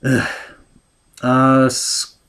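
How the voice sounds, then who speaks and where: Male book reviewer, mid-range voice, exasperated and ranting, halting delivery with lots of restarts, home desk mic. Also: medium pitch, completely flat delivery, a bit bright, clean tone. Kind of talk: critical book review rant.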